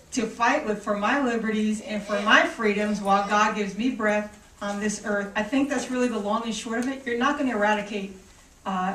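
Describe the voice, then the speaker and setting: A woman's voice: medium pitch, a bit bright. A woman giving an emphatic statement as a panelist at a press conference. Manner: emphatic, declarative. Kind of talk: public statement. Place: press club conference room. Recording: echoey room, distant mic, faint noise.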